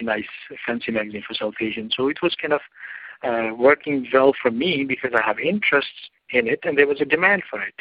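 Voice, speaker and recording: A man's voice: medium pitch, no echo, muffled recording. A man from Pakistan with a Pakistani accent, telling his story as a journalist guest in an interview in long, winding sentences. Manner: non-native phrasing, long winding sentences. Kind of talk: interview answer, personal story.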